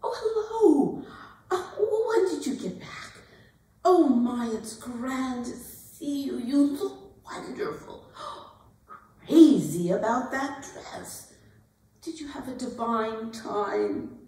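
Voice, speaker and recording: A woman acting a giggly, earnest young society debutante in a theatrical monologue, gushing and halting as she talks. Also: high-pitched, very animated, mellow, far from the mic, heavily acted. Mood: gushing excitement. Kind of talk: theatrical dramatic monologue at a staged reading. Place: arts center performance space. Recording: echoey hall, picked up from afar.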